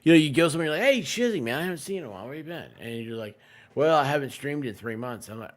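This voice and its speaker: A man talking casually as a live streamer, telling stories with big swings between loud and quiet. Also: a little high, expressive, racing. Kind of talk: casual chat on a livestream.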